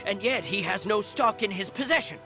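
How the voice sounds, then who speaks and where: A stern island soldier presses his accusation with force. His voice is fairly high and rises and falls sharply. Clear game music plays behind him. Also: expressive, brisk. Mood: accusing. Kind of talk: video game voice acting.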